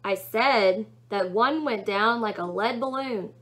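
A woman's voice: mid-range voice, takes it slowly, fairly bright tone, rough recording. A woman reading a storybook aloud, voicing a sardonic, casual male serpent demon who muses and questions. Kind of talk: storybook read-aloud character voice.

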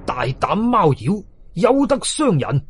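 A man's voice: sits high, sing-song, slow pace, husky, soft background music, pitched above the performer's own voice, exaggerated voice. A man voicing a stern, angry Taoist exorcist with a commanding, declamatory manner in a horror audio story. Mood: furious.

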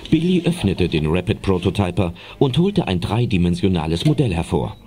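A man's voice: sits low, big pitch swings, brisk, mellow. A man narrating a scripted audio drama as the storyteller.

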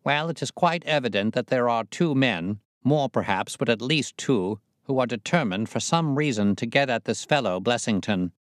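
A man's voice: medium pitch, expressive, normal speed, few pauses, no room sound, studio quality, natural voice. A man voicing a shrewd, self-assured detective in an audiobook, reasoning in a formal, incisive manner. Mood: analytical. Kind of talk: audiobook character voice.

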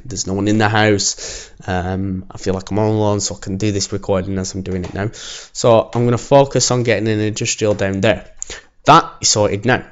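A man with a croaky voice, casually commenting as he builds a city in a game, alone at home. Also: lowish voice, highs cut off. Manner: chatty, self-assured, talks while building. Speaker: gaming channel host. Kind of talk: casual let's-play commentary.

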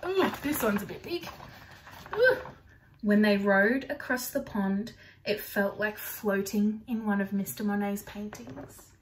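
A young woman reads a children's storybook aloud. Her pace is slow and careful, with an expressive storytelling delivery and a middle-range pitch. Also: slight background noise, low-quality recording. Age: young adult. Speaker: storybook reader for primary children. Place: small furnished room indoors.

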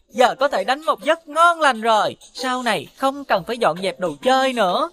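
A woman voicing a playful, sincere young child in an animated series. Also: high-pitched, exaggerated voice. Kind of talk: Vietnamese animated series dubbing.

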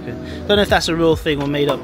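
A man's voice: on the high side, racing, music in the background. A man in his forties casually narrating a travel vlog outdoors on a handheld camera, rambling with self-deprecating asides. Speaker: vlogger. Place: outdoor cafe grounds.

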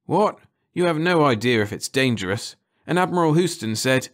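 A man voicing a gruff, grumbling aquatic sergeant with a dismissive manner in an audiobook. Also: a little high, lively intonation, moderate pace, pitched above the performer's own voice, lightly acted. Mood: irritated. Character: grumpy, scornful aquatic sergeant with a trunk. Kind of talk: audiobook character voice.

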